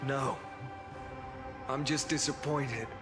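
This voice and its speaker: An actor playing a weary, defiant fallen hero in a video game, solemn and grandiose with bitter scorn. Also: male voice, mid-range voice, fairly even intonation, takes it slowly, close to an everyday voice. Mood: cold disdain. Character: weary, defiant male fallen hero burdened by countless lifetimes. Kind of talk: dramatic scripted video game acting. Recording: loud music behind the voice. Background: game soundtrack music.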